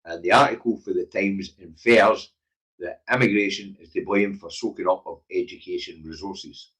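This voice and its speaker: Husky, low-voiced Glaswegian man. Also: fairly even intonation, moderate pace, a bit bright, low-quality recording. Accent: Glaswegian Scottish. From Scotland. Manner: blunt, emphatic, sweary, conversational. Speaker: livestream current affairs show host. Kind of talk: opinionated casual live commentary.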